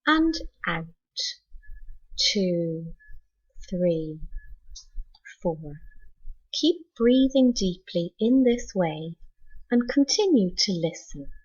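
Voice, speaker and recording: A woman with a husky edge to her voice leads a guided meditation at a very unhurried pace. She gives measured, teacherly instructions with deliberate pauses between phrases. The recording is a little dull and lo-fi. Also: on the low side, very animated, a little mellow. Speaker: teacher leading mindful meditation for students.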